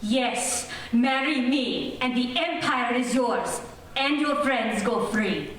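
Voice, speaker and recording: Woman playing a slow, haughty villain princess. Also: on the high side, a bit bright. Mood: imperious. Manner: imperious, deliberate. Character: haughty, jealous, vengeful alien princess. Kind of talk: old-time radio serial acting. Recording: echoey stage, distant mic, faint noise.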